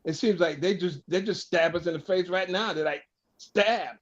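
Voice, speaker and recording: A man's voice: high voice, moderate pace, lo-fi sound. A man arguing in an impassioned, insistent way in a panel discussion, often repeating himself. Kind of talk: heated political discussion.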